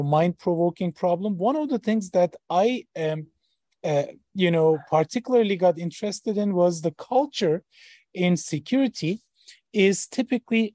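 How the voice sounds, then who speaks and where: A man in his forties, from Turkey, speaks as a podcast guest in a fairly high voice. He hesitates often and fills the gaps with many ums and uhs. The sound is close and dry. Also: dark tone, no room sound. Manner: hesitant, many fillers and restarts. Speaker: startup founder and professor. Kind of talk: interview guest.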